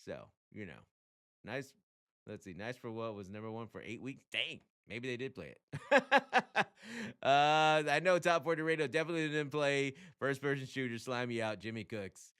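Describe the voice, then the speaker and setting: A man giving casual solo commentary as a radio insider, talking in a slangy, animated way with a readiness to chuckle. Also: mid-range voice, moderate pace, smooth. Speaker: radio programmer and music commentator.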